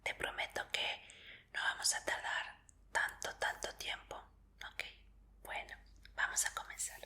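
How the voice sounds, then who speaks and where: A woman whispers in Spanish, very bright and crisp in tone. She takes her time, gently reassuring the listener in a breathy ASMR roleplay as an official examiner. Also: measured pace. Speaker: ASMR roleplayer playing a martial arts tournament examiner.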